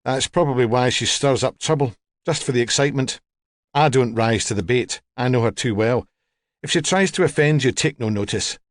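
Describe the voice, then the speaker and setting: A man's voice: mid-range voice, normal speed, no room sound, studio quality, lightly acted. A man voicing a plain-spoken, chatty woman working as a home help, in an audiobook story. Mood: chatty, wry. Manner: chatty, forthright, unbothered.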